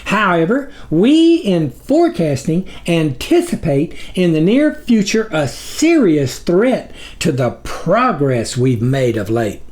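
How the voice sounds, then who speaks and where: A man's voice: sits high, darkish tone, exaggerated voice. A man voicing a grovelling demon underling in an audiobook story, a wordy, pedantic academic who speaks with obsequious formality. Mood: formal, earnest.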